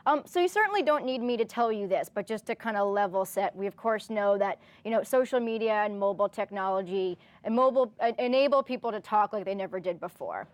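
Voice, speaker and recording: A woman's voice: mid-range voice, no room sound. A woman giving an informal conference presentation in a conversational way, often checking in with the listeners with a "right?".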